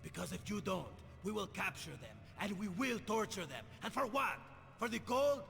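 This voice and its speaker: Theatrical, gravelly villain. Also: male voice, sits high, animated, moderate pace, fairly bright tone, in a large hall, soft background music, heavily acted. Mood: menacing. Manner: taunting, theatrical. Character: menacing male villain, coaxing, mocking, quick to rage. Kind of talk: video game cutscene acting.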